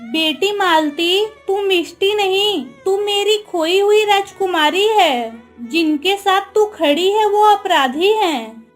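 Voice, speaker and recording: Woman voicing a slow, high-voiced motherly queen. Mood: tender longing. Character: gentle, motherly, dignified queen. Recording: music under the voice.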